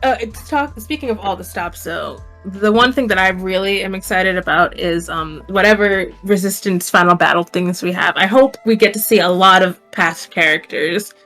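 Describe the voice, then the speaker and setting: A woman's voice: medium pitch, normal speed, hardly any pauses, fairly bright tone. Enthusiastic woman chatting over music. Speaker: podcast co-host. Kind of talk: casual group chat about an animated series.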